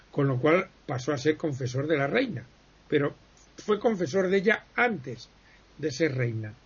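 Gravelly man telling history. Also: fairly high pitch. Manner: digressive, explanatory, many pauses and self-corrections. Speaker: history guest speaker. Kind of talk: conversational radio interview. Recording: muffled lo-fi broadcast sound.

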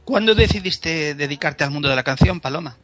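A man's voice: a little high, expressive, a little fast, a bit bright, muffled recording, soft background music. A man interviewing a guest on radio, hesitant and filler-heavy as he searches for words.

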